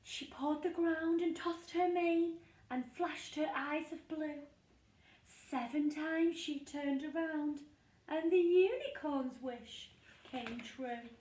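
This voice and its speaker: Female storyteller for young children, high, slow, sing-song rhyme; echoey, set back from the mic. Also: darkish tone, slight background noise. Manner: sing-song, dramatic pauses. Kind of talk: expressive rhyming picture-book read-aloud. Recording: echoey room, distant from mic.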